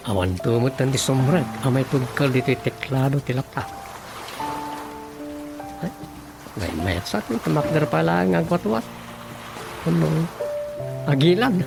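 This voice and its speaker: A man's voice: mid-range voice, gravelly. An actor with an Ilocano accent playing a musing man who mutters to himself in a radio drama comedy.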